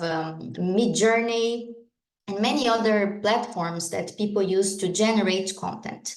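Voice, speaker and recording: A woman with a Brazilian Portuguese accent, English not her first language, presents a legal talk at a steady, explanatory pace, pausing often with small hesitations. Her voice sits mid-range for a woman. The sound is roomy and echoey, as if she speaks into a video call from an open room. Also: mid-range voice. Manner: measured, explanatory, frequent hesitations. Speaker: guest speaker, lawyer. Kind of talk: conference presentation.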